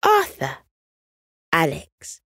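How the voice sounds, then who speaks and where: A woman's voice: low-pitched, wildly swooping pitch, extremely slow, fairly bright tone, no room sound, studio quality, heavily acted. Woman putting on an earnest, eager little boy.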